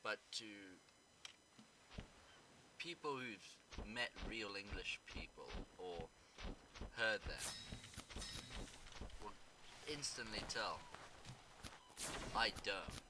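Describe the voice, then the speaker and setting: A teenage boy from South Africa rambles and makes sarcastic asides as he plays a game. His voice sits very low, with the odd curse dropped in. A heavy wash of noise runs under the whole recording. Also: lively intonation, extremely slow, long pauses. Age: teens. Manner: rambling, sarcastic, swears casually. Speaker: gaming YouTuber. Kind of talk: rambling casual chat while gaming.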